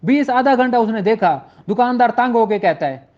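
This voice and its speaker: A man's voice: extremely high pitch, animated, normal speed, dull audio. A man with a Pakistani Punjabi accent giving an informal, explanatory law lecture in a conversational, questioning manner. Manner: conversational, poses rhetorical questions, switches into English legal terms. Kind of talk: informal explanatory lecture with examples, reading out statute slowly.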